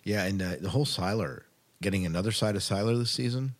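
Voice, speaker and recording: A man's voice: fairly low pitch, quick pace. An American man hosting a podcast, reading news items and chatting casually between them. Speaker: podcast host. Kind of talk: podcast news segment, partly read, partly casual chat.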